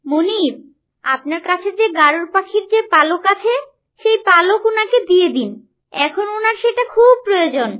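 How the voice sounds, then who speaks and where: A woman's voice: extremely high pitch, animated, moderate pace, fairly bright tone. A woman voicing a small, loyal talking horse in a cartoon, eager and pleading in manner. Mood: helpful, earnest. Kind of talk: cartoon story dubbing.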